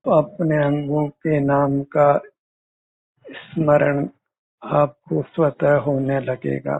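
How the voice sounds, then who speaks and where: A man leading a guided meditation as a teacher, giving measured instructions with frequent pauses. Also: fairly high pitch, little pitch movement, gravelly, husky. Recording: muffled, phone-like narrow-band audio.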